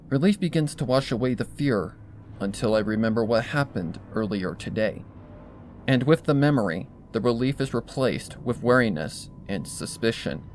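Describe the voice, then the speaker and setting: A man narrates a first-person horror story in a mid-pitched voice. It is a scripted fan fiction reading, recorded with a faint layer of background noise.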